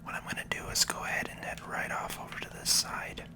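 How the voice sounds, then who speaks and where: A person whispering soft, unhurried ASMR commentary while narrating a video game playthrough step by step.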